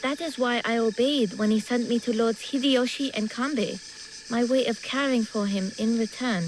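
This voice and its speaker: A woman's voice: a little high, normal speed, a little hiss or hum, natural voice, poor audio. A woman playing a polite, dutiful and vulnerable young noble boy in a video game drama. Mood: earnest. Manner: courteous, earnest. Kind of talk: dramatic game cutscene acting.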